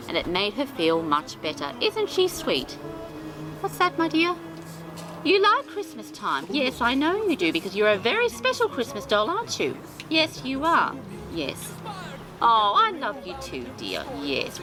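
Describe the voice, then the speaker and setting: A woman hosting a storytime for small children, speaking in a doting, sing-song, theatrical way. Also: mid-range voice.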